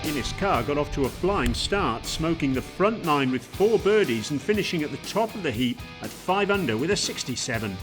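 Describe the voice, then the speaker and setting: A man reads a sports feature in a smooth, measured way, his pitch rising and falling with plenty of movement. Plucked guitar music runs clearly underneath. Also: expressive. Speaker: narrator. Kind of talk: scripted sports narration.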